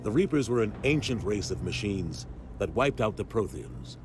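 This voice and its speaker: A man acting a seasoned, steady military captain in a video game, plain and serious. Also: on the low side, moderate pace, over music, lightly acted.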